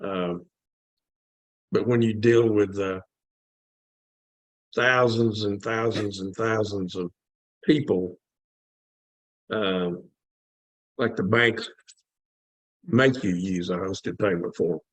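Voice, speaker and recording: A man speaks very slowly in a fairly low voice, with little room sound. He puts his questions deliberately, in short phrases, during a casual tech discussion. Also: steady tone, no echo, low-quality recording. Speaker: caller asking questions.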